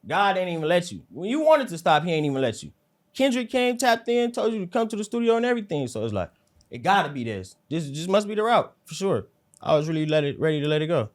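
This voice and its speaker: A man's voice: on the high side, sing-song, fast talker. A man with a South Central Los Angeles accent telling personal stories in casual, slangy interview talk. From South Central Los Angeles, USA. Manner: slangy, repeats "you know what I'm saying". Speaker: interview guest, rapper.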